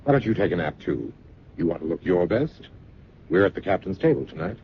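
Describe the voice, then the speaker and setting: An actor playing a suave, candid escort in an old-time radio drama, smooth-talking, wry and breezy. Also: male voice, sits low, sing-song, brisk. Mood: amused, reassuring. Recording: muffled, noisy vintage radio recording.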